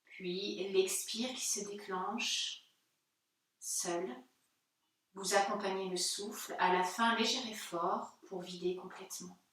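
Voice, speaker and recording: A woman teaching yoga, guiding a meditation in a gentle, soothing manner with pauses. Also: medium pitch, steady tone. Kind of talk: guided yoga nidra meditation instruction. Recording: very echoey room, distant mic.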